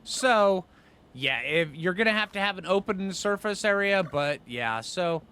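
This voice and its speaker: A man with a bright, fairly high voice talks through a video game as host. His pitch swings in a lively way as he thinks aloud in loose, slangy phrases. Faint game ambience sits behind him. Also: measured pace, a little hiss or hum. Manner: informal slangy phrasing, rambling asides. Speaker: gaming channel host. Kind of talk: casual gameplay commentary, thinking aloud.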